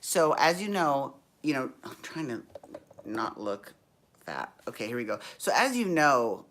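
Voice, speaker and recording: A man's voice, worn low and tired after a day of performing, carries through a hotel room. He chats in a sassy, rambling way, drifting into side stories and asides, with a pitch that sits in the middle for a man. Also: very animated, unhurried, fairly bright tone, slight background noise. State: tired, deepened voice. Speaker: show host. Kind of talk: casual chatty recap vlog.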